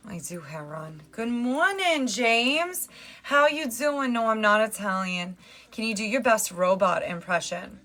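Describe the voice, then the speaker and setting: A woman's voice: fairly low pitch, fairly bright tone. A woman with a New Jersey accent chatting casually on a fitness livestream. She jokes around and greets viewers by name. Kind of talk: casual live-stream chat.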